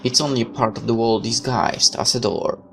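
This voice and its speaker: A man's voice: mid-range voice, a little fast, gravelly. Man narrating a game over music. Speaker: gaming let's player reading a visual novel aloud. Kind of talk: expressive narration of game text with casual asides.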